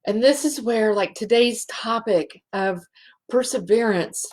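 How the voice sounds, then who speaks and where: A woman hosting a spiritual show, speaking in a halting, emotional way and close to tears. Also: fairly high pitch, a little mellow. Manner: slow, halting and emotional, many restarts. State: teary. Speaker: show host, healing facilitator. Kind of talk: heartfelt spiritual show monologue.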